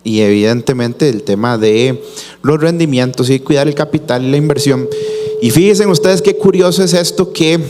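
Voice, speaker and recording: A man's voice: medium pitch, moderate pace, background noise. A man from Costa Rica, a security company executive, explaining his views on a business panel in a conversational way with many fillers. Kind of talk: panel discussion answer. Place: conference hall. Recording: echoey hall reverb.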